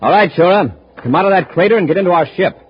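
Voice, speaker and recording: A man's voice: high-pitched, expressive, fast, a little hiss or hum. A man playing a brave, decisive space patrol commander in a 1950s radio adventure, with an authoritative way of giving orders. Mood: forceful, urgent. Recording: muffled 1950s radio recording.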